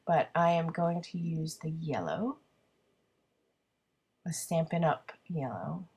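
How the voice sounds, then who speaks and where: Woman, congested and husky, lowish voice, chatty self-deprecating crafter talking through her work. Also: animated, takes it slowly, a little mellow. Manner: chatty, self-deprecating, thinking aloud with many ums. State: congested with a cold. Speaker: card-making crafter. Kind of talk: casual craft video narration.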